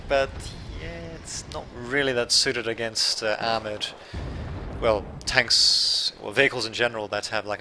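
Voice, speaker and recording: Bright-voiced Australian man casting a strategy game. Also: medium pitch, slight background noise. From Serbia, raised in Australia. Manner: rambling, self-correcting, chatty asides to viewers. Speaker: gameplay commentator. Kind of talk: casual live game commentary.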